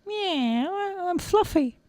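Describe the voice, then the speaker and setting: A woman's voice: very high-pitched, expressive, extremely slow, a little mellow, no room sound, pitched above the performer's own voice, exaggerated voice. A woman voicing a cool, cheeky female cat who talks in hesitant slang peppered with meows. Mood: relaxed, reassuring.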